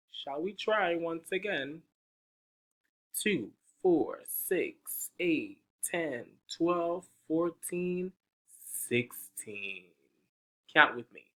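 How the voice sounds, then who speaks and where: Man, picture-book read-aloud for kids, very slow and sing-song, bright tone. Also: medium pitch, low-quality recording. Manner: slow, sing-song, coaxing questions to young listeners. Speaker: teacher reading aloud.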